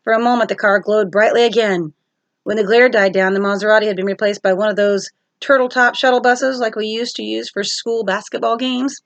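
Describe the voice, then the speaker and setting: A woman's voice: mid-range voice, fairly even intonation, a little fast, dry recording. A woman teacher reading a storybook aloud as narration for children.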